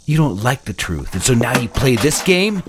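A man's voice: medium pitch, very animated, moderate pace, very noisy, natural voice. An actor playing a controlling, condescending husband in a dramatic audio drama, patronizing as he moves between cooing and scolding. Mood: cold, contemptuous.

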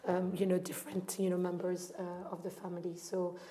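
A woman from Morocco talks through her thoughts in a panel discussion. Her voice sits low and stays very even, with a soft, dark tone. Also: a little fast. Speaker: art fair founder on a panel.

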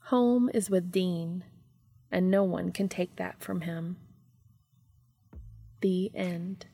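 A woman with a slightly creaky voice reading in a scripted style as a podcast narrator and host. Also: lowish voice, steady tone, takes it slowly, warm tone, soft background music.

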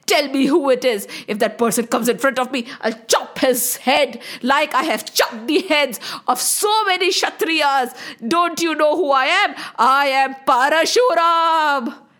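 A woman storyteller puts on a furious old warrior sage from a children's myth. The voice is high and haughty, shouting threats and boasts with a hot temper. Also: lively intonation, normal speed, full character voice. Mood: enraged, boastful.

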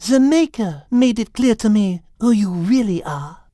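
A woman's voice: medium pitch, lively intonation, mellow, dry recording, clean studio sound, lightly acted. A woman voicing a clever, defiant animal heroine in a dramatized children's audiobook, speaking firmly and accusingly. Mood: resolute, bold. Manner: firm, deliberate, accusing.

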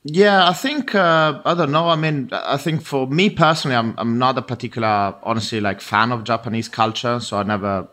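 A man's voice: medium pitch, brisk, few pauses, sharp tone. A man whose first language is Italian, a guest on a podcast, talking casually and candidly with many fillers and restarts. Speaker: guest, recruiter in Tokyo.

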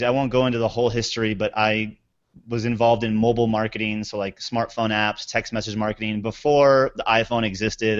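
A man guest on a podcast, telling his story in a candid, reflective way. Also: medium pitch. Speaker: guest entrepreneur. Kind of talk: casual interview storytelling. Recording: muffled lo-fi audio.

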